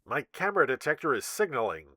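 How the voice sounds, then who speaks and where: A man voicing a calm, dutiful young male rescue pilot who reports in a businesslike way. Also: medium pitch, quick pace, no echo, clean studio sound, voice pushed up. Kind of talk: audio adventure story reading.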